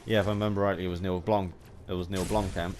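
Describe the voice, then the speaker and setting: A British man giving a rambling video game commentary, haltingly, often repeating and rephrasing his phrases as he goes. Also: deep voice, little pitch movement, darkish tone.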